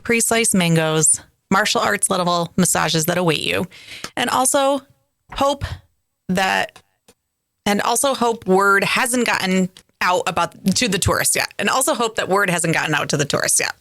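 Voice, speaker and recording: A woman's voice: mid-range voice, moderate pace, fairly bright tone, no echo. A woman in her forties co-hosting a podcast, chatting casually and reading tarot card meanings with playful comic asides. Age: 40s. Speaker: podcast co-host.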